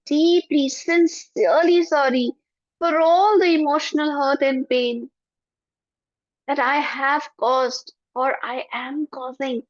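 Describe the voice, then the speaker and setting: A woman leading a guided forgiveness meditation in a soft, prayerful voice with slow repetitions. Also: high-pitched, dry recording. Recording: dull lo-fi, dry.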